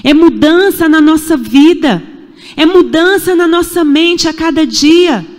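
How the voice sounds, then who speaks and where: A woman's voice: very high-pitched, unhurried, darkish tone. A Brazilian woman in her forties preaching a sermon in a church, emphatic and repetitive, with rhetorical questions. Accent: Brazilian Portuguese. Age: 40s. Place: church sanctuary. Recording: echoey church hall.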